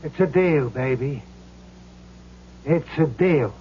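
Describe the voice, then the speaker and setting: An actor playing the wicked, mocking ghost of a dead gambler in a 1970s radio drama, husky and taunting. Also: male voice, on the high side, slight background noise. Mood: smug. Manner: taunting, drawn-out delivery. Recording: muffled old radio recording.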